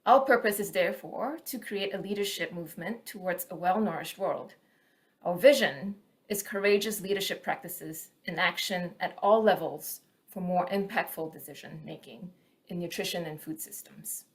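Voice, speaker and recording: A woman's voice: on the low side, normal speed. A woman from Singapore, an academic giving a prepared presentation at an online event. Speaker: associate professor, task team member.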